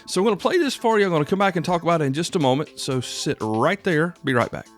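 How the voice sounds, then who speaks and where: A man's voice: fairly high pitch, animated, quick pace, over music. A man hosting a podcast solo, talking in a casual, folksy, rambling way full of asides. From South Carolina, United States. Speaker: podcast host. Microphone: close podcast microphone.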